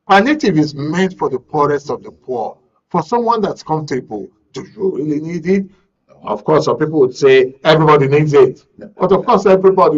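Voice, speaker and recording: A Nigerian man hosts a live current affairs programme in a fairly high voice. He speaks deliberately, using rhetorical questions and repeated phrases to press his points. The audio is slightly dull and lo-fi. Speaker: radio talk show host.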